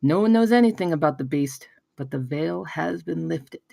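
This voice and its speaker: Woman doing brash excitable pitchman guy at a table read, lowish voice, swings around a lot, dry sound. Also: moderate pace, creaky, no echo, full character voice. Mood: excited storytelling. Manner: emphatic, profane, conversational storytelling. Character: brash, excitable male pitchman spinning a campfire tale.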